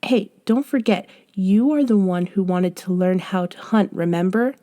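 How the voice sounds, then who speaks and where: A woman playing a patient, gently chiding young friend in a soft-spoken scripted roleplay audio. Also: medium pitch, normal speed, close to an everyday voice. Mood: patient, teasing. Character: young woman, patient bossy friend coaching a reborn vampire. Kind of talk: scripted roleplay audio, one-sided conversation.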